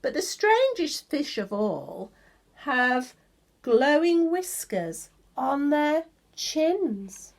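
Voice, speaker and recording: A woman's voice: sits high, very animated, extremely slow, gravelly. A woman reading a children's picture book of verse aloud, stretching words for emphasis and pointing things out to young listeners.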